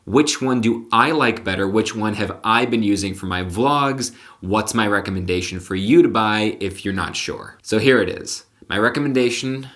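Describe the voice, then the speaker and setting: A man with a deep voice, vlogging casually to the camera and explaining gear with frequent restarts. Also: normal speed, few pauses, fairly bright tone. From Connecticut, USA. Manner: chatty, explanatory, with filler restarts. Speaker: vlogger and magician. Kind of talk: casual vlog talk to camera. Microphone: camera-mounted shotgun mic.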